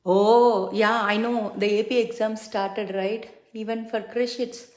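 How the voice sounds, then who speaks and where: A woman's voice: a little high, steady tone, unhurried, darkish tone. A middle-aged woman whose first language is Tamil, chatting casually with many fillers as she does her makeup on a livestream. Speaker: makeup consultant and livestream host. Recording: echoey room.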